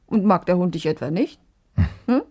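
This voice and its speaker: An actress playing a discontented, yearning young wife in a radio drama, gently reproachful and quietly defiant. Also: female voice, fairly low pitch, lively intonation, normal speed, creaky. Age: young adult. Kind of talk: naturalistic German radio drama dialogue.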